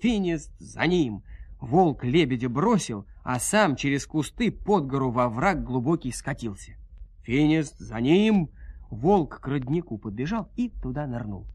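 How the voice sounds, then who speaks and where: A man narrating a dramatized fairy tale in an expressive, folksy storytelling manner. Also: medium pitch, measured pace, husky, no echo.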